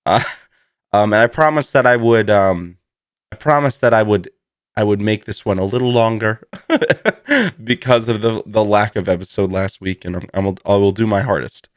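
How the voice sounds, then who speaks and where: Low-voiced man, slightly hoarse, rambling podcaster. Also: expressive, normal speed, dry recording, studio quality. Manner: rambling, self-deprecating, laughs through his words. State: recovering from a cold, slightly hoarse. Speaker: podcast host. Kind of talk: casual solo podcast chat.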